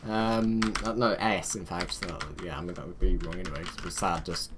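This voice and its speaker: A man's voice: sits low, a little fast, background noise. A man giving casual let's play commentary, rambling and muttering to himself as he plays, joking at his own expense. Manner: self-mocking, chatty.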